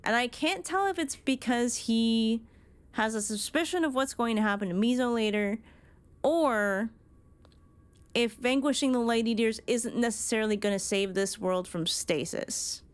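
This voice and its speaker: A woman's voice: fairly high pitch, normal speed, clear, resonant tone. A woman streamer giving casual, reflective commentary on a game, analytical and happy to swear.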